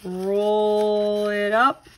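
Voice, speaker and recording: A woman's voice: medium pitch, level tone, very slow, slight background noise. A woman tutor reading aloud and giving simple step-by-step instruction for young children. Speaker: tutor reading a picture book and leading a craft.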